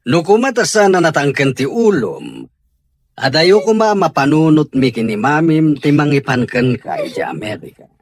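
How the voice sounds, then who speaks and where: A man's voice: fairly high pitch, wildly swooping pitch, normal speed, dry recording, heavily acted. A man playing a stern, insistent father in an audio drama, firm and commanding, with an Ilocano Filipino accent.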